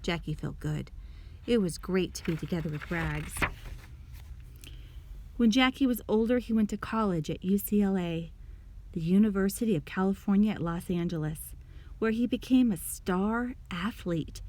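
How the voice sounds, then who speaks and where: A woman reading a children's story aloud in an expressive storytelling manner, addressing young listeners. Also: mid-range voice, normal speed, slight background noise. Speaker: storybook reader.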